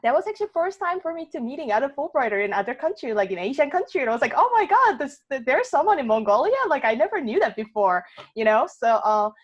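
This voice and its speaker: A woman's voice: high voice, big pitch swings, fast talker, lo-fi sound. Chatty woman hosting a casual discussion. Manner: chatty, many fillers, laughs often. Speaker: workshop moderator. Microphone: video call.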